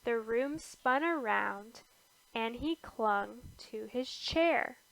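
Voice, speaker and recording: A woman reading a rhyming children's picture book aloud, with deliberate phrasing and pauses between short phrases. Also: fairly high pitch, gravelly, a bit bright, poor audio. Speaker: storybook reader.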